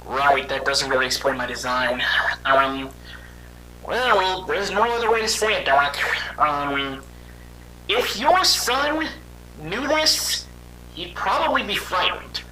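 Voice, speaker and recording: A man voicing a weary young robot hedgehog, telling his story in a halting, conversational and dramatic way for a fan audio drama. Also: on the high side, bright, forceful tone, slight background noise, heavily acted, poor audio. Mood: hesitant, candid.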